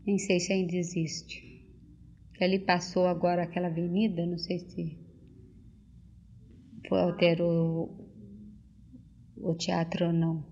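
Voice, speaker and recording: An older woman, recalling things as an interviewee and often pausing to search for words and restart. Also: lowish voice, level tone, mellow, slight background noise. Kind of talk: casual spoken reminiscence. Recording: dull lo-fi tape recording.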